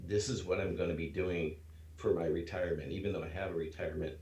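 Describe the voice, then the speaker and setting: A man's voice: deep voice, fairly even intonation, fast, a little mellow, reverberant. A man in his sixties, a trader in a mentoring program, chatting in an easygoing, rambling way. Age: 60s. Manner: easygoing, rambling, laughs at himself. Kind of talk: casual chat.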